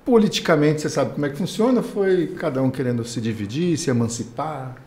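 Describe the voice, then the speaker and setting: A man's voice: a little high, animated, brisk, darkish tone, reverberant. A middle-aged man, presenting casually as a podcast co-host. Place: small podcast studio. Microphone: close podcast microphone on boom arm.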